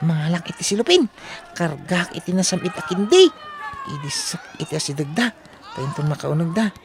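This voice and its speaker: A woman's voice: on the low side, big pitch swings, normal speed. A woman voicing a mischievous young schoolboy prankster with a playful manner and an Ilocano accent in a comedy radio drama. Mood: scheming.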